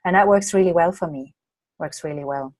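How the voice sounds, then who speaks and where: A slightly hoarse woman from Australia, a coach answering viewer questions in a chatty way during a live online masterclass late at night. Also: fairly low pitch, brisk, darkish tone, no echo. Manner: chatty, reads out viewer comments and answers them. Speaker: coach and presenter.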